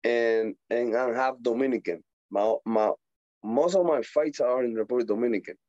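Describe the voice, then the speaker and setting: A man in his forties from Puerto Rico, with a Puerto Rican Spanish accent, speaking as a guest in a casual interview, animated, laughing often, with halting English. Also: mid-range voice, no room sound, muffled recording. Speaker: boxer guest.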